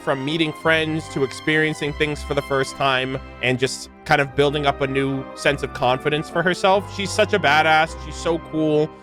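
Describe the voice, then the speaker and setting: A young man hosting casual game lore commentary and reading, speaking in an enthusiastic, informal, rambling way. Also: fairly high pitch. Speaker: gaming lore reaction host.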